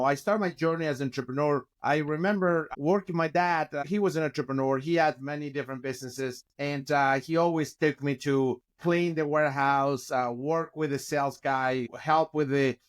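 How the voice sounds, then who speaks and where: A man's voice: fairly high pitch, normal speed. A middle-aged man with a Chilean Spanish accent, telling stories as a guest on a video call from his office. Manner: animated, gesturing with hands, frequent restarts. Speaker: guest, company founder. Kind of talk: casual interview storytelling. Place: office with bookshelves, indoors.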